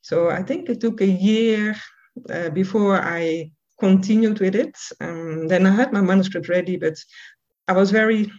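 Woman guest, english not her first language, lots of ums, mid pitch, muffled audio. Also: moderate pace, darkish tone. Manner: earnest, frequent hesitant ums. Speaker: guest author. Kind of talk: interview answers. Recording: dull lo-fi audio.

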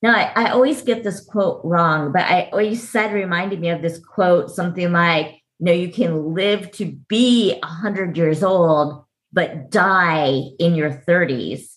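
Woman, podcast host, slightly low pitch, interview style. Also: unhurried, hardly any pauses. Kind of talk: introduction and interview questions.

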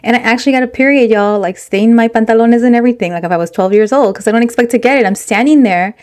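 A woman's voice: a little high, fast, a little mellow. A woman co-hosting a podcast in casual chat, candid and switching between English and Spanish. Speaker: podcast co-host.

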